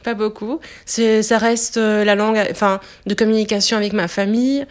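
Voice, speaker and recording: This is a woman in her twenties whose first language is Italian, speaking French with an Italian accent. Her voice is smooth and clear. She talks at a relaxed, searching pace, often pausing on fillers as she gathers her thoughts. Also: mid-range voice. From Italy. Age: 20s. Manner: hesitant, many filler pauses. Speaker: interviewee, French teacher. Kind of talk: casual interview answers.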